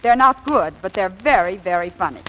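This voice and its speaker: An actress playing a catty, self-assured glamorous blonde in a 1940s-style radio comedy, mocking and affectedly sweet. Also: female voice, mid-range voice, lively intonation, normal speed, fairly bright tone, highs cut off, lightly acted. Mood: mocking, spiteful. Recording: reverberant, noisy old radio recording.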